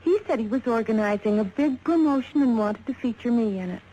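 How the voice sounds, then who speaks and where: A woman's voice: on the high side, animated, fairly quick, warm tone, highs cut off, close to an everyday voice. An actress playing an anxious, glamorous young American woman under suspicion in a 1950s radio drama, protesting earnestly. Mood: explaining, earnest. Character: glamorous young female suspect, anxious and pleading innocence. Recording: vintage radio recording, faint noise.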